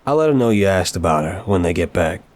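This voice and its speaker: A man playing a suave, playful young mafia boss in an intimate audio roleplay, teasing and smooth-talking, leaning on words for emphasis. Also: sits low, animated, fast, a little mellow. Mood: smug, teasing.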